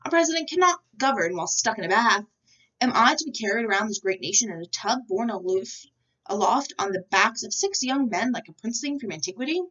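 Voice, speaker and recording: A woman voicing a blustering, pompous, exasperated male president from a storybook, often shouting indignantly. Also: on the high side, lively intonation, brisk, muffled recording.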